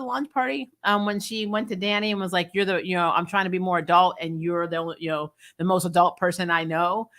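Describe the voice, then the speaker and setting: A woman with a bright, forward voice talks casually as a show host. She is frank and salty, telling things in an animated, conversational way at a middle pitch. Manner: animated storytelling, laughs often, frank and salty. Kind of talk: casual chatty episode review, reacting to live comments.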